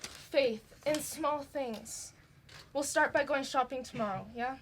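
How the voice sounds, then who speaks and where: A woman's voice: high-pitched, takes it slowly. A young woman acting a caring but bossy student sister in an amateur stage play, earnest and insistent. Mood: reassuring. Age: teenager or young adult. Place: indoor stage performance. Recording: live stage recording, faint noise.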